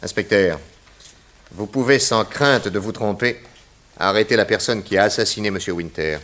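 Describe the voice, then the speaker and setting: An actor playing a self-assured, logical private detective, delivering measured deductions in a theatrical 1960s radio crime drama. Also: male voice, on the low side, a bit bright, close to an everyday voice. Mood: confident, accusing.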